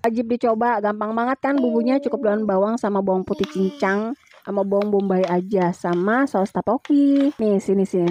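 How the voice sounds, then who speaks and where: A woman's voice: a little high, darkish tone. A woman with an Indonesian accent narrating a home vlog as a mother, in a chatty, colloquial way.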